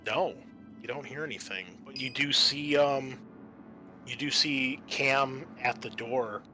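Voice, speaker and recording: A man narrating a tabletop roleplaying game as game master, pausing often mid-sentence. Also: medium pitch, pushed and bright, soft background music.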